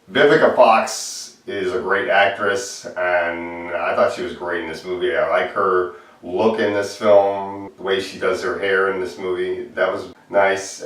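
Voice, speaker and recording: A very echoey recording, picked up well away from the microphone, of a man with a fairly low voice. He talks casually as an amateur film critic, stating his opinions bluntly and repeating them as he goes. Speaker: amateur movie reviewer. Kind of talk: casual spoken movie review to camera. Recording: very echoey room, distant microphone.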